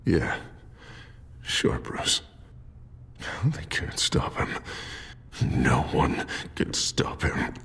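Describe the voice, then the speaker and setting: A man acting an unstable, anguished fallen politician in a video game, with a gravelly voice, swinging between rage and despair. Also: very deep voice, big pitch swings, very slow, pauses often, fairly bright tone, slight background noise, full character voice. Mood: defeated.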